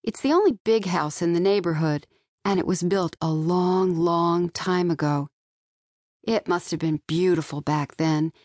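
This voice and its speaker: A woman narrates a young-adult audiobook in the first person. Her voice sits fairly low. The recording is dry, with very little room sound. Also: a little slow, a little mellow, dry recording. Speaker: author narrating own audiobook. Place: dry studio.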